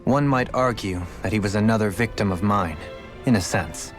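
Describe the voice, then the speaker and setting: A man voicing a refined, melancholy former lord in a video game, formal and eloquent, quick to blame himself. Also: on the low side, close to an everyday voice. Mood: somber, self-blaming. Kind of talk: dramatic video game voice acting. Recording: music under the voice.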